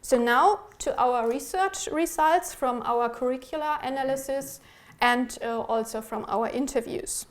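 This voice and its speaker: A woman presenting research in a measured, explanatory academic style, pausing now and then as she goes. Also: sits high. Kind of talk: prepared academic presentation with slides.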